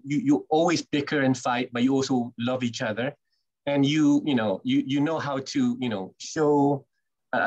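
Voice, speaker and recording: A man from Malaysia, a Malay speaker with a Malaysian English accent, giving a chatty talk as an English teacher, often restarting words and using "you know". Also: medium pitch. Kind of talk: presentation on a video call. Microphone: video call mic. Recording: dull lo-fi video call audio.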